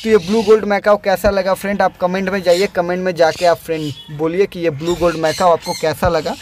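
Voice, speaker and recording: Chatty young man, high voice. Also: little pitch movement, fairly quick, slight background noise. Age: young adult. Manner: chatty, repeats "friend" often. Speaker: bird breeder vlogger. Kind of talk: casual vlog voice-over narration. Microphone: dubbed voice-over after filming, no mic on site.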